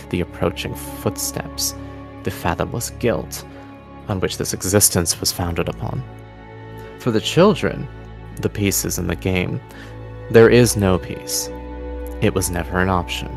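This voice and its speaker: A man delivering dramatic scripted narration as a game master, solemn and theatrical, with deliberate pauses. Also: low-pitched, creaky. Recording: music bed behind the voice. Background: music.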